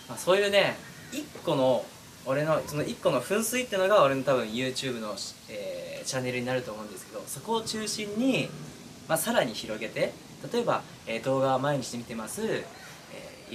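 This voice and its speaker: A man hosting his own channel, talking casually to his followers with drawn-out hesitations and asides that seek agreement. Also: mid-range voice, a bit bright, slight background noise. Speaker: online voice-drama creator and channel host. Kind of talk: casual monologue to camera, addressing followers.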